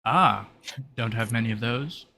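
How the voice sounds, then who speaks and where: A man's voice: mid-range voice, unhurried, vocal fry, bright, forceful tone. A man voicing a flamboyant, wryly friendly demon spirit in a tabletop game, musing theatrically and hesitantly, often repeating words. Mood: playfully wounded.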